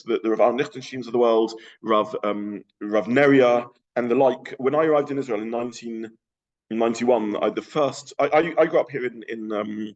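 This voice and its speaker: A man's voice: mid-range voice, darkish tone, muffled recording. A middle-aged man with a London accent giving an informal lecture, thinking aloud with frequent restarts. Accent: London English. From England.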